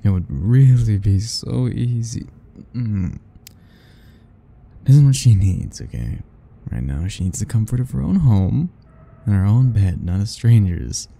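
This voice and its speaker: Man acting a very mellow, husky, obsessive lover. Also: lowish voice, very animated, exaggerated voice. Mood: conflicted, yearning. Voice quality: soft, husky, breathy. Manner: slow, intimate murmuring, coaxing and self-talking. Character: soft-spoken obsessive yandere man, doting and possessive, young. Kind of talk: ASMR romance roleplay acting.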